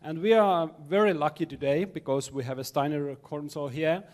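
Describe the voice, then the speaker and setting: A man's voice: fairly high pitch, steady tone, normal speed, a little mellow. Male moderator with a slightly raised voice who halts often between words while introducing a speaker. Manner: hesitant, many fillers, non-native phrasing. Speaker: seminar moderator.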